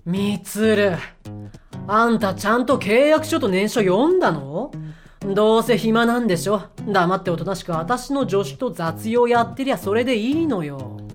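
A woman acting in an audio drama as a bossy, sharp-tongued mission leader, blunt and self-assured, with feminine phrasing. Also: medium pitch, animated, moderate pace, over music, lightly acted. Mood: bossy, brisk.

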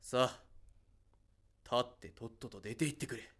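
A man's voice: mid-range voice, moderate pace, a bit bright, close to an everyday voice. A man playing a stern, rule-bound spaceship captain in an audio drama, curt and commanding. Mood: stern, official.

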